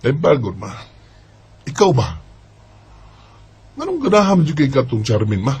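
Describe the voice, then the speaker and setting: Husky, animated young Cebuano playboy, played by a man. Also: medium pitch, a little slow, full character voice. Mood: irritated, dismissive. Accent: Cebuano Filipino. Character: cocky, evasive young womanizing bachelor son. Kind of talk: amateur radio drama acting.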